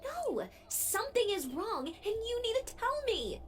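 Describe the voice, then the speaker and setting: A woman's voice: very high voice, sing-song, measured pace, heavily acted. A woman voicing a confused, insistent young female cartoon pony, bewildered and demanding. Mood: alarmed, demanding. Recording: faint noise and ambience.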